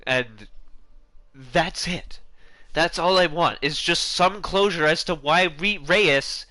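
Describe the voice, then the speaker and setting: A man's voice: on the high side, slow, bright, forceful tone. A man giving casual, rambling commentary on a video game, often restarting his sentences and swearing offhand. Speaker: gaming YouTuber.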